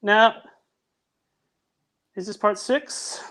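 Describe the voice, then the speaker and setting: A high-voiced man chats on a call, eagerly and insistently steering the conversation. He talks fast at times and often cuts in to keep things moving. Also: fairly bright tone. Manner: eager, directive, talks over others. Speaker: audio drama producer, guest. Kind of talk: casual video-call chat.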